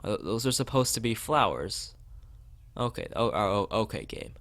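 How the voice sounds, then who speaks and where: A man's voice: lowish voice, very animated, moderate pace, a bit bright. A man from the United States, a let's play streamer, reading a visual novel aloud.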